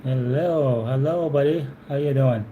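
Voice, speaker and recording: A man's voice: medium pitch, unhurried, narrow, tinny audio, slight background noise. A man from Alberta with a Canadian accent, chatting casually on a live stream, answering viewers and repeating his words as he rambles.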